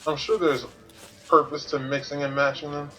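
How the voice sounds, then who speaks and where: A man's voice: fairly high pitch, flat delivery, moderate pace, fairly bright tone, faint music behind, low-quality recording. A man doing casual gameplay commentary as a streamer, thinking aloud and explaining things to himself. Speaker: video game streamer.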